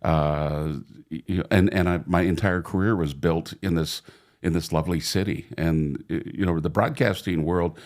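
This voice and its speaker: A man's voice: low voice, steady tone, normal speed, a little mellow. A man in his sixties from Oregon, a longtime radio broadcaster, talking casually as a guest, self-deprecating, laughing easily and repeating words as he goes. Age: 60s. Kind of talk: casual interview talk.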